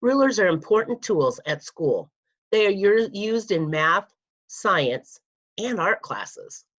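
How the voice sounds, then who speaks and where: A woman reading a children's nonfiction book aloud as a school media specialist, deliberate and expressive with questions for young listeners. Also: mid-range voice. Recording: dull, lo-fi computer recording.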